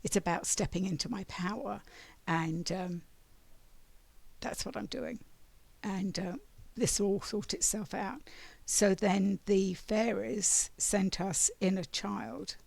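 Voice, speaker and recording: Slow raspy woman reading oracle cards. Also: medium pitch, darkish tone, no room sound. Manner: meandering, keeps clearing her throat. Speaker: oracle card reader. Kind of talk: spiritual monthly card reading.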